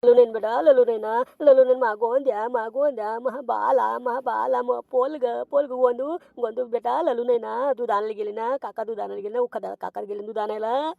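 Man playing a crying village father, gravelly voice, dry close sound. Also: very high-pitched, fairly even intonation, normal speed, no room sound, narrow, tinny audio. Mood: cooing. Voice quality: creaky, tearful wailing. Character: grieving young village father, wife fled. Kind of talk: village comedy skit acting.

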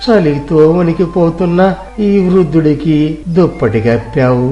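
A man's voice: medium pitch, warm tone, close to an everyday voice. A man plays a forsaken old village beggar, shivering and lamenting in a moral tale. The plaintive voice rings with room echo. Music runs faintly underneath. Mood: grateful. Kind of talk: acted audio moral story. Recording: echoey room sound, music behind.

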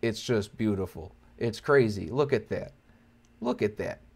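A man's voice: lowish voice, animated, a little slow, gravelly, darkish tone, breathy edge. A young man giving informal, joking, educational commentary over wildlife footage, with an enthusiastic manner. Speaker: amateur birder narrating wildlife footage.

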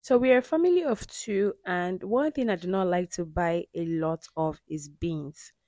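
A woman's voice: fairly low pitch, moderate pace, mellow, soft background music. A woman giving a friendly, instructive cooking voice-over that speaks directly to viewers. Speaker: cooking vlogger. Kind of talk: explanatory voice-over.

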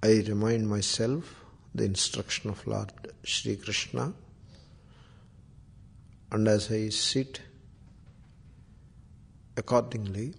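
Low, even-voiced Indian man guiding meditation. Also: creaky, breathy edge. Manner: slow, deliberate, long pauses between short phrases. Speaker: meditation teacher, swami. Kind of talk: guided meditation with Sanskrit chanting.

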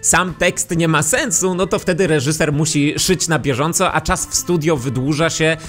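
A man in his thirties hosts a scripted vlog in a fairly high voice. He speaks close into a studio microphone, with faint music underneath. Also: normal speed. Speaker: YouTube host. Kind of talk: scripted vlog presentation to camera. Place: small decorated home studio.

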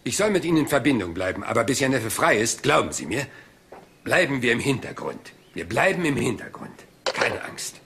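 A man's voice: mid-range voice, very animated, brisk, vocal fry, bright, forceful tone, a little hiss or hum, heavily acted. A man playing a rumpled, polite but shrewd police inspector in a German crime audio drama, hesitant and mumbling as if absent-minded. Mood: placating. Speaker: actor dubbing a police inspector.

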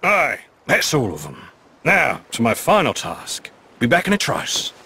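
An actor playing a roguish, swaggering pirate captain in a video game, with a British accent and flowery, slurred speech. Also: male voice, medium pitch, wildly swooping pitch, a little slow, gravelly, pushed and bright, breathy edge, exaggerated voice. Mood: sly, brisk. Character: roguish, theatrical pirate captain, a sly man. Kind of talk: dramatic video game cutscene acting.

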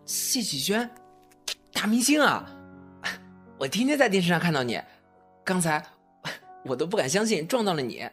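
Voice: medium pitch, sing-song, normal speed, long pauses, a bit bright, soft background music. A performer acting in an audio drama as an eager, polite young newcomer man, earnest and apologetic. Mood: starstruck.

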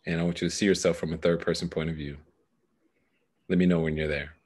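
Low-voiced male coach. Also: little pitch movement, fast, darkish tone, slightly rough. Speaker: coach guiding a client through a meditation process. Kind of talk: guided meditation and coaching conversation. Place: small indoor room, video call. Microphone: headset microphone.

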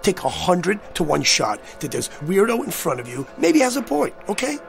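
An American actor playing an agitated, cynical man who insists he has seen disaster coming, speaking in a blunt, slangy, pleading way with a gravelly voice. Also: high-pitched, very animated, normal speed, faint music behind, heavily acted. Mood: pleading, confrontational. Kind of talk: dramatic screen acting.

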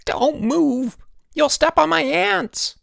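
A narrator voicing a very high, shrill schoolboy, frantic and easily distraught, in an audiobook story. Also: slow, gravelly, fairly bright tone, no echo, clean studio sound, exaggerated voice.

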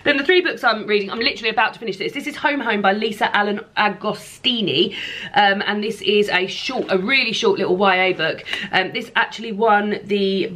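A woman's voice: medium pitch, brisk, pushed and bright. A young British woman chatting casually to camera as a book vlogger, emphatic and gushing, rambling a little as she goes. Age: young adult. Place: indoor room lined with bookshelves.

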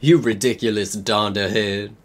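A man's voice: lowish voice, steady tone, moderate pace, slight background noise, pitched below the performer's own voice, close to an everyday voice. A man voicing a sinister, sneering wizard villain in a comic story reading. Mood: scornful.

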